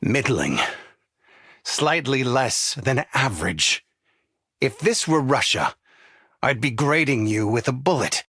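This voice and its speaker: An actor playing a stern, contemptuous male overseer in a video game, speaking in a measured, theatrical, condescending way. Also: medium pitch, animated, sharp tone, slightly rough, no room sound, studio quality, heavily acted. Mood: disgusted contempt.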